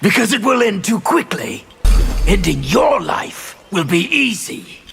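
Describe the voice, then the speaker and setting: A man playing a brutal, sadistic warrior in a fighting game, gravelly and taunting. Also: high voice, sing-song, fairly bright tone, faint music behind, full character voice. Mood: threatening. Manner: slow, taunting, menacing. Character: Reiko, brutal sadistic male warrior general. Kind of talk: fighting game character acting.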